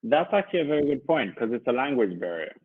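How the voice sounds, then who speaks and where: A man's voice: medium pitch, big pitch swings, quick pace. A man tutoring English in a casual one-to-one online lesson, explaining and encouraging, heard over a narrowband call.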